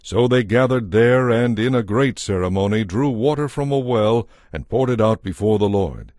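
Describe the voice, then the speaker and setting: Guy narrating an audio bible, scripted read, lowish voice, super dry studio sound. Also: moderate pace, darkish tone, no room sound.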